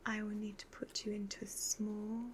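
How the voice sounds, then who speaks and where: A woman playing a sly, commanding evil witch fortune teller in a soft-spoken ASMR roleplay, half-whispering slow, measured instructions. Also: mid-range voice, monotone, slight background noise, natural voice. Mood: sly, assured.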